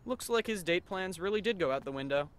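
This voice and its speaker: A man playing a sardonic, weary male high school student who narrates and talks dryly in an anime fan dub. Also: expressive, a little fast, clean tone. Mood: dryly resigned. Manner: dry, wry, long-suffering.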